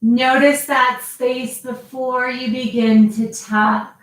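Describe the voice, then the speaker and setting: A woman guiding a yoga class with slow, calm cues and long pauses between them. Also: sits high. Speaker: yoga teacher. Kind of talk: guided yoga instruction. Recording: very echoey, voice from a distance.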